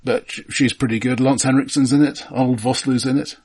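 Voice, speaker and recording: A man co-hosting a film podcast, talking casually in a halting but precise, explanatory way. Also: medium pitch, fairly even intonation, darkish tone, breathy edge.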